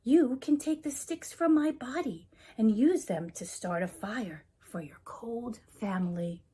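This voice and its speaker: A woman voicing a kind, generous snowman from a children's storybook in a gentle, reassuring manner. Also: a little high, unhurried, husky. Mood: kindly, reassuring.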